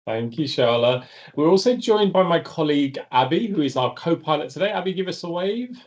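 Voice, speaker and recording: A man hosting a live online webinar, speaking in an earnest, measured way with lively rises in pitch. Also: highs cut off. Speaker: webinar host, organization founder.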